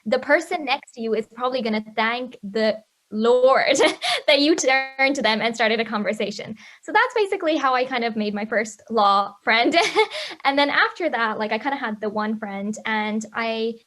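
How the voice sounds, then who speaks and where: A young woman on a video call talks casually as a student panelist. Her voice sits fairly high, and she speaks with lots of fillers and frequent light laughs. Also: lively intonation, normal speed, fairly bright tone. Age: young adult. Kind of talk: casual webinar chat.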